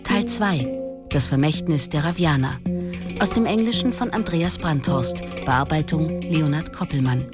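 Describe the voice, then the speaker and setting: A woman's voice: low-pitched, measured pace. A woman reading a scripted credits announcement for a radio production. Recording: loud music behind the voice.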